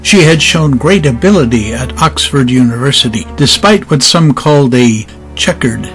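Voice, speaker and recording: A man's voice: mid-range voice, expressive, normal speed, a bit bright. A man giving scripted dramatic narration as the narrator of an audio story. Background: faint music.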